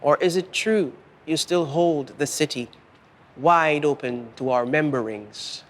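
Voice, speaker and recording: A man with a fairly high-pitched voice reads literary verse very slowly. He is solemn and measured, leaving pauses between short phrases. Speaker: poet reading own work. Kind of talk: measured literary poetry reading.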